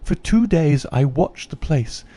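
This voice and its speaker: A man's voice: fairly high pitch, lively intonation, a little slow, warm tone, voice pushed up. A man voicing a troubled young noblewoman who tells her story in an earnest, confessional way. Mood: remorseful.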